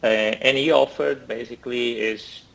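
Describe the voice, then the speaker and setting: A man's voice: mid-range voice, fairly even intonation, moderate pace, vocal fry, a bit bright, rough recording. A man in his forties from Italy with an Italian accent, chatting as an interview guest over a video call, hesitant with many fillers and restarts. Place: indoor room. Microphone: laptop or phone camera on video call.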